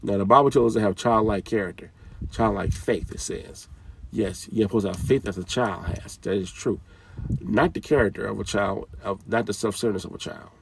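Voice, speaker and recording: A man's voice: on the low side, brisk, creaky, recorded from a distance, slight background noise. A man giving an informal, scolding talk with casual slang, often repeating his phrases.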